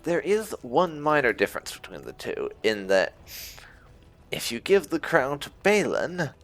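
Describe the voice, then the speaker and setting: A man's voice: on the high side, sing-song, unhurried, a bit bright. A man giving casual, conversational commentary on a game, explaining things as he plays. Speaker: gaming YouTuber.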